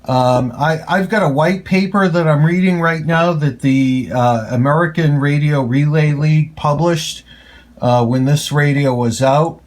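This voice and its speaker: Slow, halting man reviewing gear. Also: on the high side. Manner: halting, pausing mid-phrase. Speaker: radio hobbyist reviewer. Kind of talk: casual hands-on gadget demonstration.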